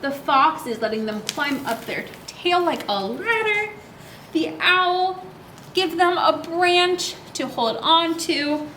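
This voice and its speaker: Bright, high-voiced woman hosting children's story time. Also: slow, slight background noise, rough recording. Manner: animated, child-directed, asks and answers own questions. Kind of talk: read-aloud and craft instruction for young children.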